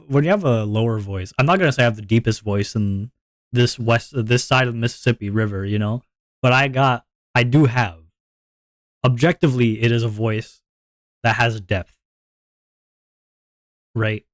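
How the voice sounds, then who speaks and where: Deep-voiced man rambling to his stream. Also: dry recording. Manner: rambling, self-reflective, repeats words. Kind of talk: casual chat to stream viewers.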